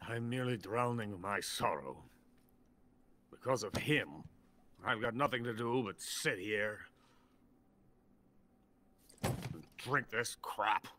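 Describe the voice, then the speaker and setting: An actor playing a drunken, pompous, bitter older Soviet weapons scientist in a video game. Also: male voice, fairly low pitch, takes it slowly, long pauses, sharp tone. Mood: bitter, self-pitying. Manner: pompous, boastful. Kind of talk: theatrical video game voice acting.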